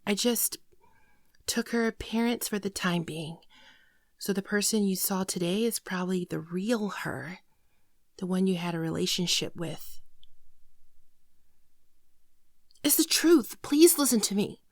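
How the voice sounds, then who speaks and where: A woman's voice: medium pitch, expressive, dry recording. Woman acting a frightened, pleading alien girlfriend, close and dry. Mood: nervous, confessing. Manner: tearful, pleading, halting, often dropping to a whisper. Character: frightened, apologetic female shapeshifting alien posing as human girlfriend. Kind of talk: intimate ASMR roleplay acting.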